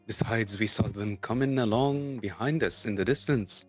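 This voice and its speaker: A man's voice: fairly low pitch, normal speed, soft background music, natural voice. A man voicing an eager, hearty young dwarf in a storybook reading.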